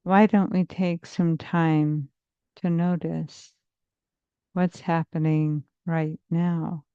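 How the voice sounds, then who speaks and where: A woman therapist leading a guided meditation in a soothing, measured, hypnotic manner with long pauses, on slightly muffled audio. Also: sits low, level tone, mellow, clean tone, no echo. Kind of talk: slow guided meditation narration.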